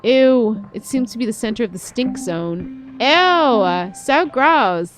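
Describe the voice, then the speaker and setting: A woman's voice: on the high side, expressive, slow pace, music in the background. A woman voicing a dramatic, awkward teenage girl who dreams of being cool, in a visual novel read-aloud. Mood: disgusted, embarrassed.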